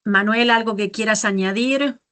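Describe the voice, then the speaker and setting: A woman's voice: medium pitch, normal speed, a bit bright. Female moderator who picks up on a guest's points and agrees. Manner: affirming, follows up on the speaker. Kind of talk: panel discussion.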